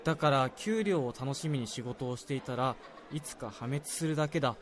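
A man's voice: medium pitch, fairly quick, a little hiss or hum, close to an everyday voice. A man acting an earnest, self-doubting young salaryman in a radio drama, speaking deferentially and confidingly. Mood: earnest recounting. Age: young adult. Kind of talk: Japanese radio drama dialogue.

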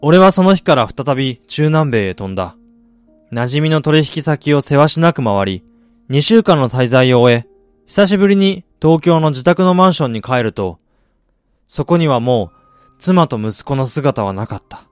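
Radio drama lead: male actor as weary middle-aged man, regretful measured narration, dry close sound over music. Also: medium pitch, animated, smooth, dry recording, lightly acted. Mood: somber, reflective.

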